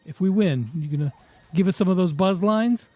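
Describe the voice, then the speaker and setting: High-voiced, slangy man hosting. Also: moderate pace, dark tone, no room sound, soft background music. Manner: slangy, upbeat. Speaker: host and interviewer. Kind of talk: casual gamer chat.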